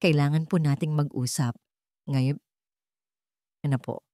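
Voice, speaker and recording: A woman's voice: sits low, fairly even intonation, measured pace, warm tone, no echo. A woman narrator acts as a determined female household employee in a storybook drama. She speaks respectfully but presses her point with urgency. The sound is dry and close. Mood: urgent, firm. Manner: polite but insistent.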